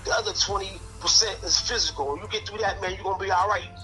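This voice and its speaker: A man's voice: sits high, fairly even intonation, quick pace, sharp tone, faint music behind, poor audio. A man in his late fifties telling prison stories in a casual, streetwise way, ending almost every phrase with "man" or "son". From Connecticut. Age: 50s. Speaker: interview guest.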